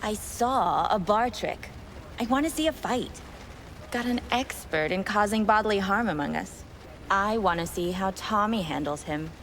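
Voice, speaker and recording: A woman's voice: medium pitch, expressive, normal speed, a bit bright, a little hiss or hum, natural voice. An actress playing a jaded, scornful woman plotting a gang takeover in a video game, with a dismissive, sardonic manner. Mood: dry, challenging.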